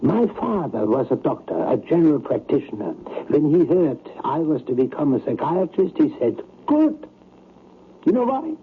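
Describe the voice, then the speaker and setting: Higher-voiced skeptical male psychiatrist. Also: very animated, normal speed. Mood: assured, lecturing. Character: probing, skeptical male psychiatrist, confident and patronizing. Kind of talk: old-time radio drama acting. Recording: narrow, noisy vintage radio broadcast.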